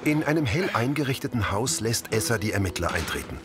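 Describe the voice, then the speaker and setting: A man's voice: fairly low pitch, sing-song, fairly quick, over music. A man reading scripted present-tense scene descriptions as an audio description narrator.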